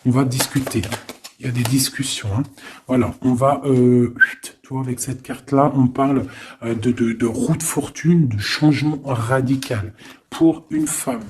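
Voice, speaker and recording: A man with a soft-edged, mellow voice at an average pitch reads tarot in a casual, off-the-cuff style. He thinks aloud haltingly and informally, often tagging his phrases with small questions. A light but audible noise sits behind the voice. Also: steady tone. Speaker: tarot card reader. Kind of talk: casual improvised tarot reading.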